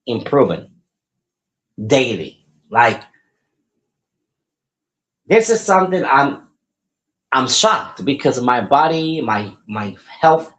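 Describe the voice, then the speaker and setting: A man's voice: lowish voice, poor audio. A man in his forties hosting a podcast, talking casually and upbeat, often repeating his words as he rambles. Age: 40s. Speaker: podcast host and wrestler. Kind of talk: casual solo podcast talk.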